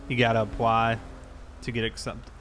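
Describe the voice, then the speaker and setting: A man's voice: mid-range voice, monotone, moderate pace, a bit bright. Casual man chatting over vehicle noise. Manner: conversational, explanatory. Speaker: game streamer and host. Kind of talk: casual chat while gaming.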